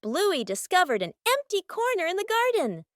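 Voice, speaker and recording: A woman's voice: extremely high pitch, wildly swooping pitch, normal speed, no echo, studio quality. A woman reading scripted narration for a children's cartoon story. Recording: music behind the voice.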